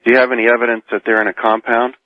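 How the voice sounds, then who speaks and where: A man with an American accent argues as a caller on a radio show, pressing with pointed questions. His mid-pitched voice comes dry and slightly muffled over the telephone. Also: flat delivery, rapid-fire, no echo. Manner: argumentative, probing with pointed questions. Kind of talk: live phone-in debate. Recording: muffled phone call over old radio.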